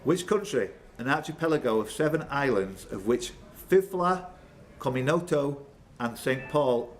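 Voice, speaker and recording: A man hosting a live quiz, reading questions, calling on players by name and bantering excitedly with them. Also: mid-range voice, normal speed. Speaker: quizmaster. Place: pub room with players around. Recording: noisy live pub room recording.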